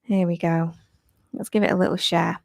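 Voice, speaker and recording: A woman, a fitness instructor, chatting upbeat and slightly croaky on a live stream, laughing often. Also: lowish voice, steady tone, normal speed, darkish tone, no echo, studio quality. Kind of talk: live-stream chat with viewers. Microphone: new clip-on microphone.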